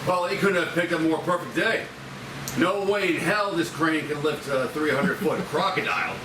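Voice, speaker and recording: A man's voice: a little high, normal speed, natural voice. A man reading the part of a skeptical, grumbling crane operator in his fifties at a script table read. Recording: distant echoey room, clear noise.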